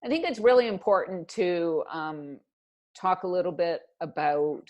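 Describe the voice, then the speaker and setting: A woman's voice: lowish voice, lively intonation, measured pace, a little mellow. A middle-aged woman, speaking reflectively as a guest in a conversational interview. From Canada. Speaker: interview guest, executive coach.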